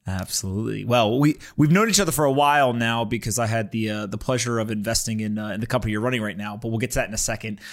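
A man's voice: lowish voice, fast, hardly any pauses. A man hosting a podcast, talking casually as he reads sponsor spots and interviews guests.

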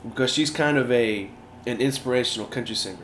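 A man's voice: medium pitch, a little fast. Casual male host in an echoey room. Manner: casual, affirming, many backchannels. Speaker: podcast host. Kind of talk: podcast interview conversation. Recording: echoey room with faint noise.

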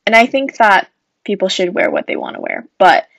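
A woman's voice: medium pitch, huge pitch swings, normal speed, creaky, a bit bright, dry recording. A young woman from Japan talking earnestly in a casual conversation, thinking aloud with frequent fillers. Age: young adult.

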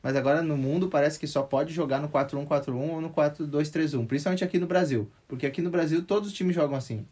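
A man's voice: on the high side, fast, hardly any pauses, darkish tone. A 24-year-old man, jokey and opinionated, chatting casually about sport. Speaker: podcast co-host.

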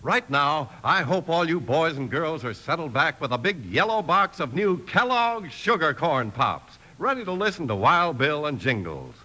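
A man's voice: a little high, sing-song, normal speed, hardly any pauses, sharp tone, rough recording. A man announcing and narrating an old-time radio show in a hearty, emphatic scripted style. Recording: old radio recording with music underneath. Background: music.

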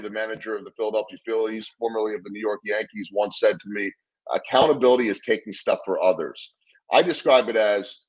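Middle-aged man, husky voice, earnest interview guest who stumbles and repeats words as he goes. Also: on the low side, rough recording. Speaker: guest speaker on leadership. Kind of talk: conversational interview storytelling.

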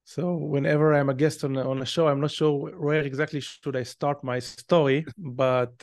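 A man's voice: on the high side, little pitch movement, moderate pace, no echo, studio quality. A man in his thirties with an Israeli accent, a podcast guest telling his story and explaining things step by step. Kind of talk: conversational interview.

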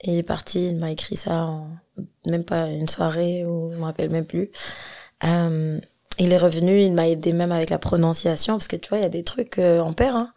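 A woman's voice: lowish voice, steady tone, a little fast, dark tone. Laughing Algerian woman in casual chat. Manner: laughs often, mixes French with Algerian Arabic and English. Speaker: singer guest.

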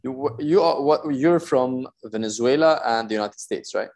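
A man interviewing a guest, asking questions haltingly with restarts. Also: medium pitch, a little mellow.

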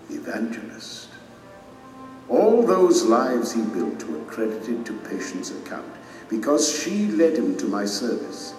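An actor playing a majestic, stern king who judges his subjects in a solemn, measured, authoritative way in an audio drama. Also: on the low side, darkish tone. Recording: cavernous reverberant hall, distant, music underneath.

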